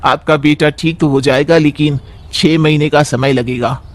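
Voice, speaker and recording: A man's voice: on the high side, fairly even intonation, normal speed, pitched below the performer's own voice, close to an everyday voice. A man voicing a village doctor who gives grave news in a formal, matter-of-fact way.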